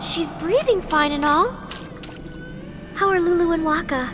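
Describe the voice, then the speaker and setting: Very high-pitched perky teenage girl. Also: unhurried, noisy background. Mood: chatty, wistful. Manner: bubbly, pouty, casual. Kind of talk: video game voice acting.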